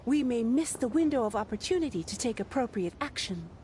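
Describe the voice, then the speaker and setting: A woman acts a dutiful female scout reporting in an anime game. Her voice is high and lively in pitch, with formal, deferential phrasing. Faint noise sits behind her. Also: fairly quick, lightly acted. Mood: formal, concerned. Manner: formal, precise.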